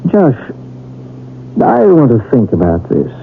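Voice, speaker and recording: Inquisitive radio-drama doctor on tinny audio. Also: male voice, mid-range voice, huge pitch swings, vocal fry, slightly rough, full character voice. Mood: intent. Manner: measured, thoughtful, probing. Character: Carlos, calm inquisitive male doctor open to the occult. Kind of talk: 1970s radio drama acting. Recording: tinny, noisy 1970s radio recording.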